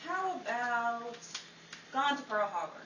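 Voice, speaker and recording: A woman's voice: on the high side, big pitch swings, slow, roomy sound, far from the mic. Woman playing glib agent amid very heavy noise. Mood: glib, defensive. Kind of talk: old-time radio comedy acting.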